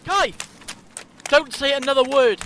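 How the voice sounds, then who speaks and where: High-voiced, earnest protective hero played by a man. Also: a little slow, sharp tone, slight background noise, heavily acted. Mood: alarmed. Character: urgent, protective male time-travelling investigator, quick-witted and reassuring. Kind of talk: fan audio drama acting.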